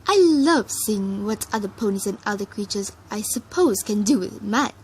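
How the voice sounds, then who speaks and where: A woman's voice: mid-range voice, animated, moderate pace, a little mellow, slight background noise, natural voice, rough recording. A woman voicing a refined, curious, fashion-loving female pony with a genteel manner. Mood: keen.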